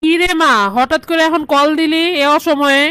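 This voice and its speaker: A woman acts out a meddling middle-aged cartoon mother with a Bangladeshi accent. She has a high voice and a goading, know-it-all manner, heard close and dry. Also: little pitch movement, moderate pace, pushed and bright, no room sound. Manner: goading, opinionated. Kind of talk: comedy cartoon dialogue.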